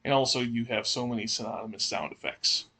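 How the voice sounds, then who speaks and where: Podcast cohost guy, sharp voice w lots of fry, kinda halting, thinks out loud. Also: mid-range voice, steady tone. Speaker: podcast co-host. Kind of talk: conversational podcast discussion, asking questions.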